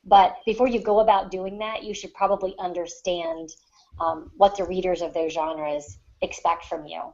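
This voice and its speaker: A woman's voice: fairly low pitch, fairly even intonation, moderate pace, a little mellow. A woman co-hosting a podcast in casual discussion, giving writing advice in an emphatic, explanatory way. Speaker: podcast co-host, fiction writer. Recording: dull lo-fi online podcast audio.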